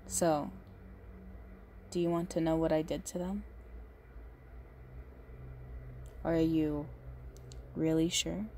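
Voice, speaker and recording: Woman acting a slow-talking, possessive goth yandere girlfriend. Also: low voice, little pitch movement, warm tone, slight background noise. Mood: cosy, confiding. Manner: slow, halting, doting, menacing under sweetness. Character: big muscular possessive goth girlfriend, sweet but unhinged yandere. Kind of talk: intimate ASMR roleplay monologue.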